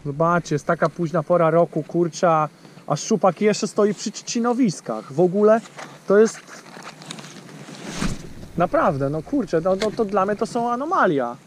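A man's voice: high voice, lively intonation, a little slow, a little hiss or hum. Chatty man on a fishing boat. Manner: chatty, addresses viewers directly, many fillers. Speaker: fishing channel host. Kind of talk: casual outdoor fishing vlog chat. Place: small boat on a lake outdoors. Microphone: action camera on the boat.

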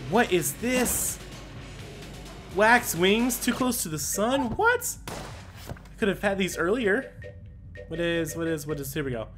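Guy doing let's play commentary, kinda high voice, reads item text, yells woo when it goes well, game music loud behind. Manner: thinks aloud, reads item text, quick excited exclamations. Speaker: gaming channel host. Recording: game music playing underneath.

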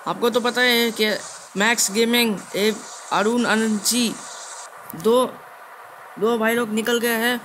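A young man giving casual gameplay commentary as a video host, halting and repeating his phrases as he goes. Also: very high voice, steady tone, a bit bright. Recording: clearly noisy game capture.

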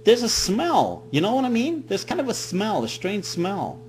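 High-voiced middle-aged man on a prank call. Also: wildly swooping pitch, normal speed, darkish tone, slightly rough. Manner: feigned naive worry, rambling. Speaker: prank caller. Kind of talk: improvised comic prank phone call. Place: small room at home, indoors. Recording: noisy webcam audio with hum.

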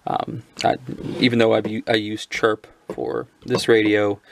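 A man giving a casual, off-the-cuff product overview in a halting, conversational manner. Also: lowish voice, monotone, a little hiss or hum. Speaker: product reviewer. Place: indoors at a tabletop.

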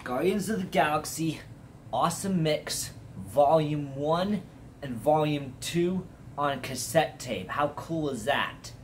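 A man's voice: fairly high pitch, lively intonation, slow, slight background noise. An American man in his late twenties presenting a personal project vlog in an earnest way. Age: late 20s. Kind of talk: vlog, part scripted narration, part casual presenting to camera.

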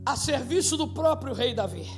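A pastor in his fifties preaching with a Brazilian Portuguese accent, his voice sitting very high for a man. He acts out his story animatedly, shouting the characters' lines. His handheld mic rings in a long church reverb. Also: animated, normal speed. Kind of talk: sermon with live interpretation. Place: church stage with projected screen. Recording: long church hall reverb, faint music.

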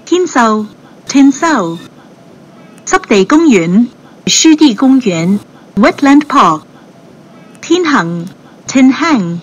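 A woman delivers formal transit announcements. She speaks very slowly in short, separate phrases, with a lively rise and fall. The audio is dull and lo-fi. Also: fairly high pitch. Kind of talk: scripted bilingual station announcements.

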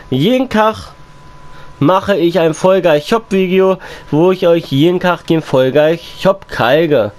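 A young man with a slight cold, chatting casually as he plays and comments on a console game, repeating and rhyming his lines and breaking into excited outbursts. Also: a little high. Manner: excited outbursts while playing. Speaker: gaming YouTuber.

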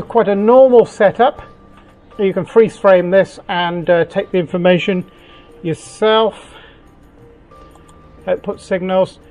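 An older man hosting a workshop tutorial, talking through a machine setup slowly, with halting fillers and restarts. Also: high-pitched, darkish tone. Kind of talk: unscripted technical walkthrough. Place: home workshop, indoors.

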